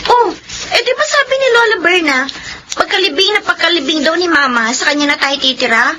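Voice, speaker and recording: An actress playing a grieving, stubborn young daughter in a Filipino radio drama, plaintive and emphatic. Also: female voice, extremely high pitch, lively intonation, brisk, sharp tone, heavily acted. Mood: stubborn, insistent. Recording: noisy radio drama ambience.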